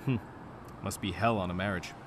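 A driven, cynical prosecutor in a noir radio play, acted by a man. His fairly low voice is sardonic and impatient, with faint ambient noise behind it. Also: steady tone, fairly quick, lightly acted. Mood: wry. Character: cynical, driven male district attorney. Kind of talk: noir radio drama acting.

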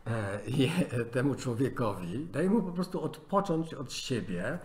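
A man's voice: medium pitch, expressive, unhurried, darkish tone. A man coaching in a podcast conversation, giving earnest advice with many fillers and restarts. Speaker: life coach.

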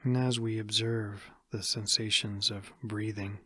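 An American man guides a meditation in a calm, measured manner with long pauses. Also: lowish voice, no echo, clean studio sound. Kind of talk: guided body scan meditation.

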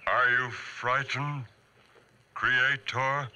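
An actor playing a lonely, pleading giant monster in halting phrases, in the style of 1940s radio horror. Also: male voice, mid-range voice, steady tone, sharp tone, exaggerated voice. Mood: menacing. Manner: slow, halting, deliberate phrasing.

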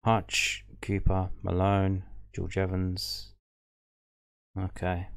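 Man, very deep voice, casual fan host, reading out stats, musing as he goes. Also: monotone, extremely slow, gravelly, clean tone. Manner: musing, thinking aloud over a stats table.

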